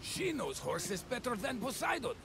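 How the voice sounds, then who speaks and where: High-pitched, exasperated Greek village leader. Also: male voice, big pitch swings, moderate pace, creaky, background noise, exaggerated voice. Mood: sardonic, pragmatic. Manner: blunt, sardonic. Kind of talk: video game cutscene acting.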